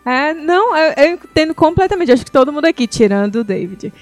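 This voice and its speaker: High-voiced woman in casual chat. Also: sing-song, quick pace, no echo, soft background music. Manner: supportive, agrees readily.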